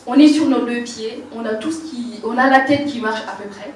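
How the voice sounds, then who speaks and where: Woman, 40s, Burkinabe French accent, animated storyteller with hesitations; slightly high voice, distant mic in a reverberant room. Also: normal speed, a little hiss or hum. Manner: animated, laughing, many hesitations. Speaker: makeup artist telling her life story. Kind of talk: personal life story talk. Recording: roomy, distant mic, faint ambience.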